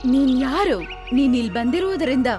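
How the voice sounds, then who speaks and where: A woman's voice: high voice, expressive, normal speed, music in the background, voice pushed down. A woman voicing a commanding, magical queen of birds in a cartoon story. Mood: stern.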